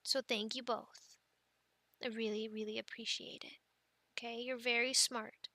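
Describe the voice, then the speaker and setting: A woman playing an affectionate, playful young mother in an ASMR family roleplay, talking gently and haltingly to her children. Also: on the high side, little pitch movement, no room sound. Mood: tender, grateful. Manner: gentle, halting, soft-spoken with playful bursts.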